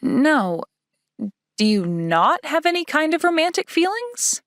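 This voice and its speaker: Woman acting a haughty, animated teenage schoolgirl. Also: sits high, unhurried, a little hiss or hum, full character voice. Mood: accusing. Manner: demanding, formal, self-assured. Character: haughty, accusatory teenage schoolgirl, stubborn and blunt. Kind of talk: manga dub character dialogue.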